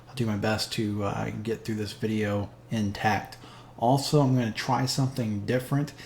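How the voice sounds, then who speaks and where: A man hosting a casual market update over a chart screen share, talking straight to viewers with frequent fillers, his voice slightly hoarse. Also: on the low side, little pitch movement, normal speed, a little mellow, slight background noise. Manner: chatty, direct address with frequent fillers. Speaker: crypto market analyst, channel host.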